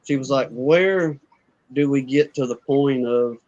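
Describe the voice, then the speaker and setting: A man's voice: medium pitch, unhurried, a little mellow. A man talking casually in a livestream chat, with a dull recording. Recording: dull, lo-fi call audio.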